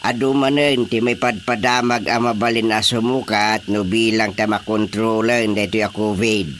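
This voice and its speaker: A man's voice: lowish voice, fairly even intonation, moderate pace, fairly bright tone, close to an everyday voice. Actor as chatty Filipino man, faint noise. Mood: eager. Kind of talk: Philippine radio drama acting.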